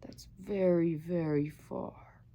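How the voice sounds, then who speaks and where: A woman voicing a big grown-up hare from a storybook, a gentle, loving parent who speaks tenderly. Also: fairly low pitch, steady tone, takes it slowly, pitched below the performer's own voice.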